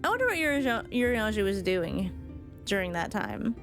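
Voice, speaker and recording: A woman's voice: medium pitch, very animated, normal speed, a bit bright. A woman game streamer giving casual reaction commentary on cutscenes, thinking aloud as she goes. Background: game music.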